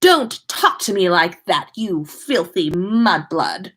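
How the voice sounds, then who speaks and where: A woman's voice: lowish voice, sing-song, takes it slowly, a bit bright, exaggerated voice. Woman as a drawling, sneering schoolboy bully. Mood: sneering contempt. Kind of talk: storybook character reading.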